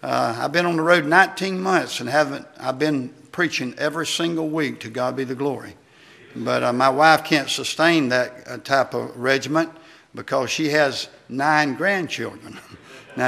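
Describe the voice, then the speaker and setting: A man preaches a sermon in a folksy, halting way. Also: mid-range voice, vocal fry, sharp tone. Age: 60s. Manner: folksy, halting delivery with amens. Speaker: visiting preacher, former pastor. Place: church sanctuary at a wooden pulpit.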